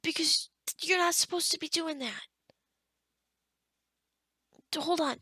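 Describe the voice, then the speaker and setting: High-voiced, flustered little forest witch, acted by a woman. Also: fairly quick, pushed and bright, no room sound. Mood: anxious, scattered. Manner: scatterbrained, hesitant, stammering, often dropping to a whisper. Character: kind, flustered, small forest witch, chatty helper. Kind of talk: ASMR roleplay addressed to the listener.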